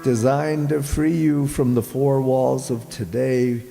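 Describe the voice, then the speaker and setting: Slow, gravelly male radio drama announcer. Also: medium pitch, little pitch movement, mellow. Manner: theatrical, ominous, deliberate pauses. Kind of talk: dramatic old-time radio narration. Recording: echoey hall, live stage with music. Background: dramatic music.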